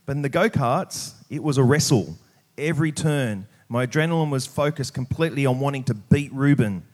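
A man's voice: medium pitch, a little fast. A middle-aged Australian man preaching at church in an informal, plain-spoken, conversational way. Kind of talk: church sermon with prayer, scripture reading and casual anecdotes.

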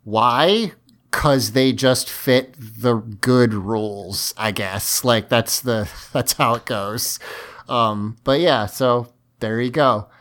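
Male podcast host, mid-range pitch, emphatic and conversational, voice starting to go. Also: slow pace, a bit bright. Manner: emphatic, talkative. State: losing his voice. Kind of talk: casual podcast discussion.

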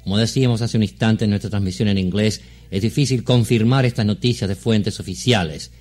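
A man working as a radio newsreader, giving live breaking news in a formal, deliberate manner. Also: fairly low pitch, a little mellow. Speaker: Spanish-language radio newsreader.

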